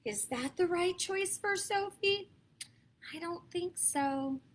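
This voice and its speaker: An American woman reading picture books aloud to children in an expressive, dramatic way, stepping aside to talk to her listeners. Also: very high-pitched, slow. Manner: expressive, dramatic emphasis, asks listeners questions. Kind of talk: animated read-aloud storytelling for young children, with asides.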